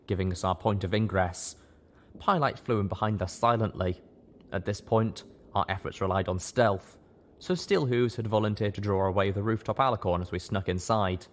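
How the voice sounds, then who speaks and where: A man reads a long fan novel aloud as an audiobook in a steady, earnest voice. His voice sits a little low for a man. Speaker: audiobook reader. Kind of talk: audiobook narration.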